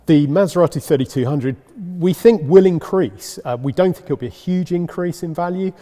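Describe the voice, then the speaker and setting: A man, a price-guide editor, answering questions in a relaxed, measured way while thinking aloud. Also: fairly high pitch. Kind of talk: interview answer. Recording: echoey room with a long reverb.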